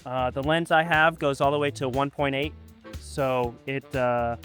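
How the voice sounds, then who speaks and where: A man's voice: mid-range voice, fairly even intonation, measured pace, fairly bright tone, soft background music. A man patiently explaining camera basics outdoors at dusk, in a casual, hesitant way full of ums and uhs. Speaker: photographer teaching a guest.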